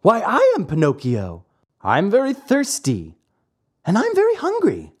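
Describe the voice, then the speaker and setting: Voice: mid-range voice, huge pitch swings, unhurried, breathy edge, voice pushed up, full character voice. A narrator voicing a little wooden marionette boy in a storybook, plaintive and dramatically exclaiming. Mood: eager.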